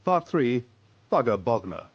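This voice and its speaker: A man's voice: medium pitch, sing-song, unhurried, breathy edge, dry recording, muffled recording. A man giving a scripted introduction to a radio drama as announcer.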